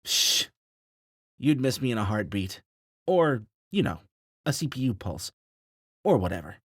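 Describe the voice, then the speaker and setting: A man's voice: mid-range voice, sing-song, measured pace, husky, no echo, clean studio sound. A man doing a hungover, wisecracking spacer. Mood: wry. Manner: wry, teasing.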